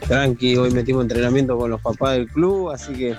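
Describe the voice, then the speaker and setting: A relaxed, cheerful Argentine man leaving a greeting message for a radio show. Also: mid-range voice, a little fast. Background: music.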